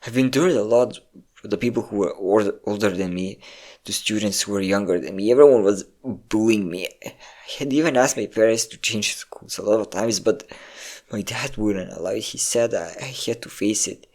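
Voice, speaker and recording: A performer with a low voice playing an insecure, depressive young adult best friend in an intimate, halting audio roleplay monologue. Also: a little mellow, close to an everyday voice. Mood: bitter, downcast. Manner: emotional, confiding, halting.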